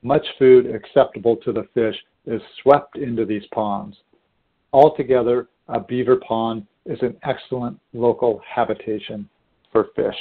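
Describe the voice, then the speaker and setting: A man reads literary prose aloud in a medium-pitched voice. The recording is thin and narrow, like a phone call. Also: steady tone, measured pace, slightly rough. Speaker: fisheries scientist reading aloud. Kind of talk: literary narration read aloud. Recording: tinny phone-like audio.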